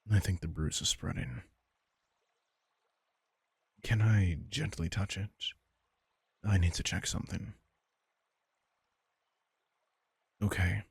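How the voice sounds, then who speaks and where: A deep, very husky male vampire king, acted by a man, protective and worried over an injured companion. He talks slowly and tenderly in an intimate audio roleplay, close on the mic. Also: steady tone, dry recording, exaggerated voice. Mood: gentle, careful. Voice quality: gravelly, husky.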